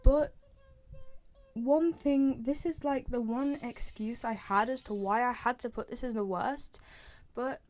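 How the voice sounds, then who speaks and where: A woman's voice: a little high, a little slow, a little mellow. A woman chatting casually and giving opinions as a fan commentator, rambling and repeating herself for emphasis. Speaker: fan commentator ranking game voice lines.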